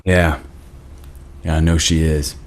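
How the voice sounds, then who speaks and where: A man acting a soft-hearted, concerned small-town cop in a naturalistic screenplay read, earnest and familiar. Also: rumbling low, little pitch movement, takes it slowly. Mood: tender, concerned. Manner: earnest, gently cajoling, plain-spoken.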